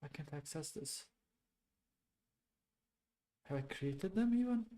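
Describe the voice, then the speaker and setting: A man thinking aloud while debugging code on a stream, halting and muttering to himself, often trailing off. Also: a little high, animated, dark tone. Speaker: programming streamer.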